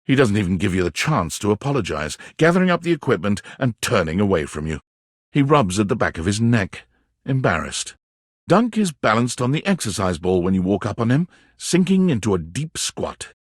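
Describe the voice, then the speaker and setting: A wry male narrator guides the player through a playful dating game. His voice is slightly low and husky, with very lively swings in intonation. He tells each scene in an expressive, theatrical storyteller's manner. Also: moderate pace, dry recording, studio quality. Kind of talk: scripted second-person narration.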